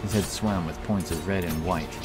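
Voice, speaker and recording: A man narrating a fantasy audiobook in a steady, dramatic storytelling manner. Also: low-pitched, normal speed. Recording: with loud music behind.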